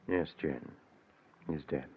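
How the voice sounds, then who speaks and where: Low, grave doctor. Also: male voice, gravelly, slightly rough, exaggerated voice. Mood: grim. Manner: measured, reassuring, grim. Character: steady, grave male doctor leading a night search. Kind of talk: 1940s radio acting. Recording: muffled 1940s radio recording.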